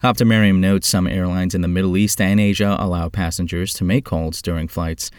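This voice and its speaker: A man with a fairly low voice delivers scripted news as a host. The recording is close and dry, with very little room sound. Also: steady tone, brisk, a little mellow, clear, resonant tone, no echo.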